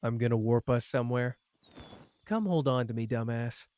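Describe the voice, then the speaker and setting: A man's voice: medium pitch, normal speed, a little mellow, close to an everyday voice. A man playing a cocky, tender young male sorcerer in an intimate ASMR romance roleplay, with a playful, teasing, casual manner.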